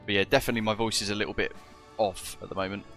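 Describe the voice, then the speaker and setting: A British man streaming as a virtual ground controller, chatting casually with viewers and speaking radio calls, his voice a bit husky and chesty from a lingering virus. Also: on the low side, fairly even intonation, rapid-fire, fairly bright tone, faint music behind. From Britain. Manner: chatty, greets viewers as matey. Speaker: streamer acting as virtual air traffic controller. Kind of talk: live stream chat and radio phraseology.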